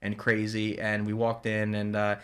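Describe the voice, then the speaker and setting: A man from New Jersey, a shop owner, telling a story in a casual chat and acting out the people he quotes. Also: lowish voice. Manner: fast, animated retelling with quoted dialogue. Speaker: guest, ice cream shop owner.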